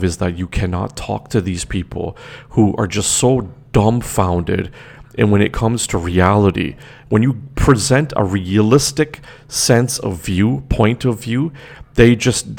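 Canadian guy doing his solo show, low gravelly voice, lots of uhs and restarts. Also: unhurried, darkish tone. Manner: emphatic, many fillers and restarts. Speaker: podcast host. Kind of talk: opinionated solo commentary. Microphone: desk microphone.

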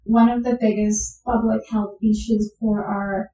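A woman from Colombia, a health educator, answering interview questions in a measured, thoughtful way with many pauses. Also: mid-range voice, little pitch movement, mellow, a little hiss or hum. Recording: dull, echoey, distant sound.

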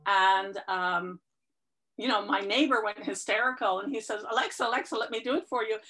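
Bright-voiced chatty woman, a bit stuffy. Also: mid-range voice, brisk, poor audio. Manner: chatty, enthusiastic storyteller with frequent fillers. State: slightly stuffy from a cold. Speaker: interview guest, fitness client. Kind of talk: casual conversational chat.

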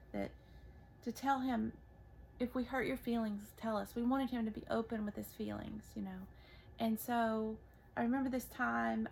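Woman, 30s, soft and halting, gravelly fry, faint hiss behind. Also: fairly high pitch, rough recording. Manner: gentle, halting, many restarts. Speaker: podcast co-host, mother. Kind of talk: casual faith conversation.

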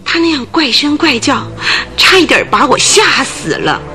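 A woman's voice: high-pitched, very animated, moderate pace, pushed and bright, faint music behind, exaggerated voice. An actress in a Chinese radio drama playing a thin, fidgety, gossipy landlady of about forty, agitated and full of exclamations.

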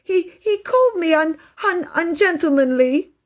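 A woman's voice: medium pitch, lively intonation, moderate pace, lightly acted. A woman voicing an earnest, indignant little girl who muddles her big words in a children's storybook. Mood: sobbing, wounded.

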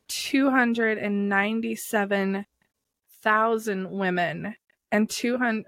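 A woman's voice: medium pitch, fairly bright tone, no room sound. A woman co-hosting a podcast while sick, her voice a little froggy, chatting bluntly and casually. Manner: blunt, profane, brief replies. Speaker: podcast co-host.

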